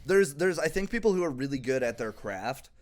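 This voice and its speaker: A man's voice: mid-range voice, lively intonation, brisk. Young guy hosting a comedy podcast, goofs around with bad impressions, casual chat. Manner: jokey, breaks into silly impressions and sung bits.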